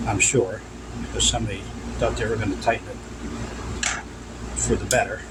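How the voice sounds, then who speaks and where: A man giving an unscripted, instructional hands-on demonstration of vintage radio work, repeating his cautions as he goes. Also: mid-range voice. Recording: loud hum and background noise.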